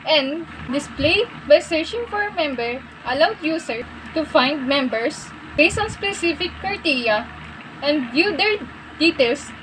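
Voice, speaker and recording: A woman's voice: high voice, lively intonation, noisy background. A young woman, giving a class project presentation and reading out lists item by item. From Philippines. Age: young adult. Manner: halting, reads out lists item by item. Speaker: student presenter.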